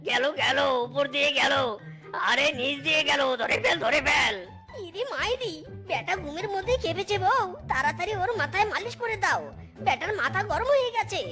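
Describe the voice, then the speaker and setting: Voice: very high-pitched, expressive, normal speed, heavily acted. A performer voicing a scheming male fox thief in a children's cartoon, exclamatory and conspiratorial. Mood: panicked. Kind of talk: animated children's cartoon dialogue. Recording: loud children's music behind the voice.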